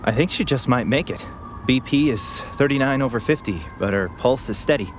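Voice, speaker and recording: An actor playing an eager, earnest paramedic obsessed with crime theories in an audio drama. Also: male voice, mid-range voice, brisk, close to an everyday voice. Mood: focused. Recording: clear vehicle and siren noise behind. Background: ambulance and siren sound effects.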